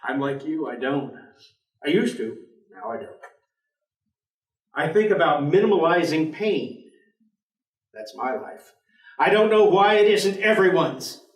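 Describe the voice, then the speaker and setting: A man's voice: a little high, expressive, unhurried, long pauses. An actor playing a bleak, world-weary male professor in a staged dramatic reading, formal, articulate and fatalistic. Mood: detached. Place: theatre stage. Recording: echoey theatre, distant microphone.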